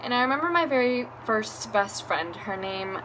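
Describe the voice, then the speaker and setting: A woman's voice: fairly high pitch, animated, moderate pace, a bit bright, poor audio. Chatty young woman over fan hum. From Venezuela. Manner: chatty and candid, rambling with laughs. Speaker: beauty vlogger. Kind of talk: story time vlog. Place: room at home with a fan running.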